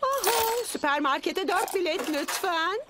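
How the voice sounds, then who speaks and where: Kindly gushing cartoon animal lady, slow and super high, loud noise behind. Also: lively intonation. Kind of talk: preschool cartoon dialogue.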